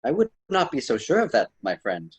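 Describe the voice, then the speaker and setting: A man playing a wise, admonishing storyteller mentor in an amateur radio drama, formal and slightly husky. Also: medium pitch, huge pitch swings, a little fast, full character voice. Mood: grave. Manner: formal, solemn, didactic.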